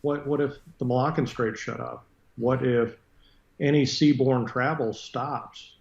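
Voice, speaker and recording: A roomy, slightly dull recording carries an American man with a very even, flat delivery. He talks as an interview guest, bluntly and matter-of-factly, in plain conversational commentary. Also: medium pitch, measured pace, gravelly. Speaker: interview guest, retired military fighter pilot and aviation businessman. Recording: roomy echo, dull muffled sound.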